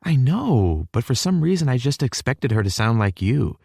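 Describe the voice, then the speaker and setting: Flustered young woman, anxious and fretting, voice pushed high, played by a male narrator. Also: very animated, moderate pace, gravelly, dark tone, slightly rough, no room sound, clean studio sound, full character voice.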